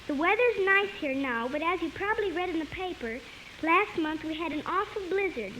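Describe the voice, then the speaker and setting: A wry woman reads aloud in a deadpan, folksy way for a 1950s radio comedy. The old broadcast recording is clearly noisy. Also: very high-pitched, a little fast, exaggerated voice. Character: wry woman reading aloud her folksy mother's letter.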